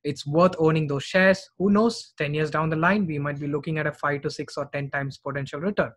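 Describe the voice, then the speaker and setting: A man's voice: on the high side, fairly even intonation, fairly quick. A middle-aged man giving informal investment commentary as a finance vlogger.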